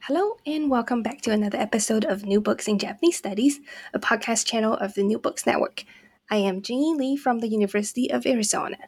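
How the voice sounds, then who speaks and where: A woman hosting an academic book interview podcast, speaking gently with hesitant fillers. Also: fairly high pitch, fast talker, slightly rough, faint music behind. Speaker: podcast host.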